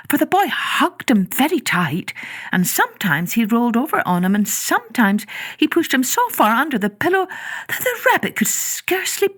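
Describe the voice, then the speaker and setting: A woman narrating a children's story from a script, in a gentle, expressive storytelling manner with hushed phrase endings. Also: medium pitch, husky.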